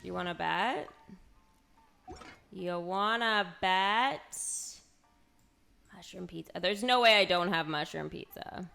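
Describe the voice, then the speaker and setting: A woman's voice: fairly low pitch, slow pace, creaky, pauses often, sharp tone, faint music behind. A woman streaming a video game, chatting casually and playfully as she reacts aloud and banters with viewers. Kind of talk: casual livestream chat over gameplay.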